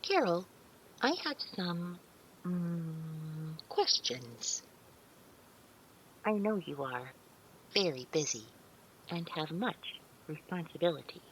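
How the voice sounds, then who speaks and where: A woman's voice: low-pitched, sing-song, slow, long pauses, slight background noise, heavily acted, low-quality recording. An actress playing a meek, naive, otherworldly female servant in an audio drama, speaking in careful, stilted, deferential phrases with hesitant hums. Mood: polite, hesitant. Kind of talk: scripted audio drama roleplay.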